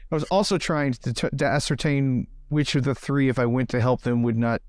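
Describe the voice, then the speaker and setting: A man's voice: medium pitch, normal speed, a little mellow, no room sound, studio quality. A man playing a tabletop game, chatting casually and thinking aloud with a joking manner.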